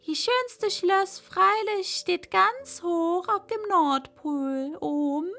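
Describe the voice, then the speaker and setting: Lady doing a gentle storybook reindeer, dreamy and longing, high and slow. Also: creaky, full character voice.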